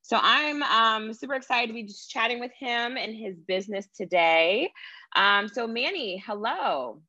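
A woman's voice: fairly high pitch, expressive, measured pace, bright, forceful tone, dull audio. A woman hosting a lifestyle interview segment with an upbeat, eager manner.

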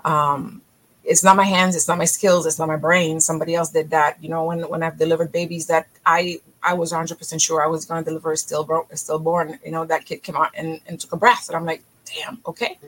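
A middle-aged woman from Haiti, a physician talking as a podcast guest in energetic, emphatic bursts that often restart mid-phrase. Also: low voice, brisk, poor audio. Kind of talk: podcast interview.

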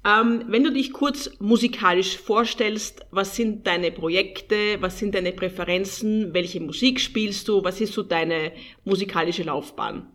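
A woman's voice: medium pitch, unhurried, hardly any pauses, fairly bright tone. A woman hosting a radio show, giving a brief scripted welcome and asking plain questions of her guest.

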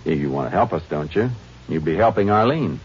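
An actor playing a calm, steady Texas Ranger in an old radio drama, speaking in a level, firm and probing way. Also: male voice, deep voice, fairly quick, breathy edge, full character voice. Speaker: lead and narrator of the drama. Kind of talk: 1950s radio drama acting. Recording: muffled old radio broadcast with hiss.

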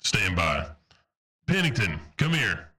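A man's voice: low voice, sing-song, takes it slowly, sharp tone, slightly rough, pitched below the performer's own voice, heavily acted. A man playing a curt military officer. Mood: stern, commanding. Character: gruff, curt military paladin officer giving orders. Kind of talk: improvised tabletop roleplay.

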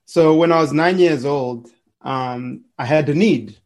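Upbeat man, slightly high voice. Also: unhurried, a little mellow. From Zimbabwe. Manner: upbeat, chuckling, restarts words, clears throat. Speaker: podcast guest, entrepreneur. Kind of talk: casual storytelling interview.

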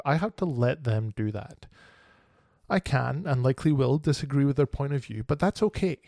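A male podcast host speaks alone in a sincere, reflective monologue. His voice sits at an everyday middle pitch with a gravelly edge. The sound is dry, with no room echo. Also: darkish tone. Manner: earnest, reflective and candid.

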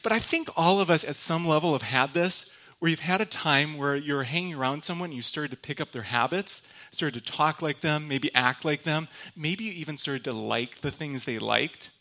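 A young man from Michigan giving a church talk as a guest speaker, telling personal stories in a relaxed, joking way with lots of fillers and restarts. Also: fairly high pitch, normal speed. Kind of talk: sermon told as personal stories.

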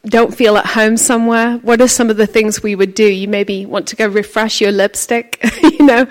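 A woman with a loud voice giving a chatty, storytelling talk as a speaker at a faith conference. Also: on the high side. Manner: chatty, animated storytelling with acted quotes. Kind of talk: conference sermon.

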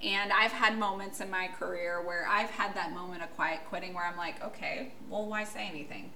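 A woman from the United States, a podcast guest, talking bluntly and loudly, laughing as she goes. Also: lowish voice, steady tone, brisk, a little hiss or hum. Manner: loud, outspoken, blunt, laughing. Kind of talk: casual podcast chat. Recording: echoey room.

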